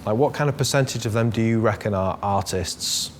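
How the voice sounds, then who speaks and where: A man interviewing a colleague, asking questions in a relaxed way and pausing to search for words. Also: lowish voice, a little hiss or hum. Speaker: interviewer, executive director. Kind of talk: interview questions, casual talk.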